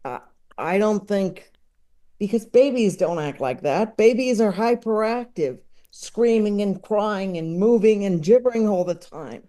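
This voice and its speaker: Male comedy-expert guest with a high, mellow voice, slow and halting, conversational. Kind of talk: casual conversational film discussion.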